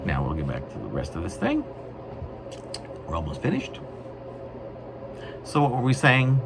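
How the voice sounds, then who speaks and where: Low-voiced man reading poetry, widely swooping pitch. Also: wildly swooping pitch, creaky. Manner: wry, mock-grandiose, deliberate phrasing. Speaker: poet reading own work. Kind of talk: literary poetry reading with offhand asides. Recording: noisy amateur recording.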